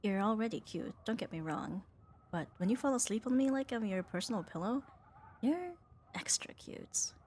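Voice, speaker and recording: Young tomboy roommate played by a woman for ASMR romance: fond, flustered, teasing and halting, with very mobile intonation over light ambient noise. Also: medium pitch, expressive, a little mellow, lightly acted. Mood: soft, affectionate. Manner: hesitant, teasing, breathy sighs and nervous laughs. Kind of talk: intimate ASMR romance roleplay.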